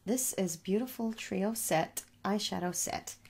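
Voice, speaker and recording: A young adult woman doing a soft, reassuring ASMR makeup store roleplay, chatting casually and repeating herself as a shopkeeper. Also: medium pitch, a little slow, vocal fry, noisy background. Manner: reassuring, repetitive, conversational. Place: small room at home.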